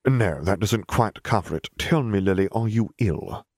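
A man voicing a haughty, menacing male stranger with a formal, measured manner, in an audiobook. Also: on the low side, lively intonation, a little mellow, no echo, studio quality. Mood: probing. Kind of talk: audiobook character voice.

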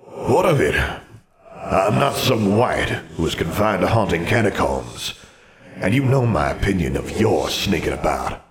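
A man voicing an ancient, stern and proud wizard's ghost who speaks in a formal, measured, haughty manner. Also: low voice, lively intonation, roomy sound, a crowd in the background. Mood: disdainful.

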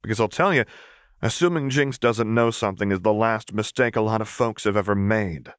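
A man's voice: on the low side, fairly quick, smooth, dry recording, clean studio sound, close to an everyday voice. A man voicing a brash, crass young woman enforcer in an audiobook, with blunt, sarcastic, slangy delivery. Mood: sardonic.

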